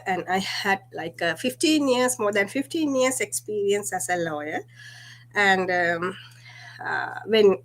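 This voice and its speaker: A woman from Sri Lanka answering interview questions on a livestream. She explains earnestly at a middle pitch, with some fillers along the way. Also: fairly bright tone. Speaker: guest.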